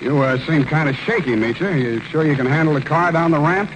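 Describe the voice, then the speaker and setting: An actor playing a tough, cynical American private detective, wry and clipped, in vintage radio drama style. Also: male voice, a little high, lively intonation, fairly quick, very noisy, natural voice. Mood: wary. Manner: hard-boiled, clipped, wry. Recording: old radio recording with loud music.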